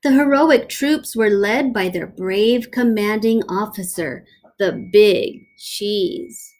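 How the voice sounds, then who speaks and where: A woman reading a children's picture book aloud like a teacher, dramatic and deliberate, with playful asides. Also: mid-range voice, expressive, a little mellow, poor audio.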